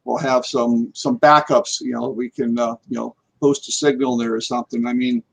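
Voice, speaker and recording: A man's voice: medium pitch, flat delivery, normal speed, creaky. A man in casual conversation as a guest paranormal investigator on a live talk show, rambling and repeating words as he goes.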